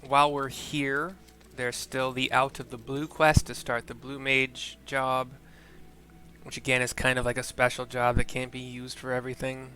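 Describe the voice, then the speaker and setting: A man's voice: mid-range voice, level tone, normal speed, sharp tone. A man giving casual, chatty let's-play commentary as a streamer, loosely describing each scene aloud. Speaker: game streamer, narrator.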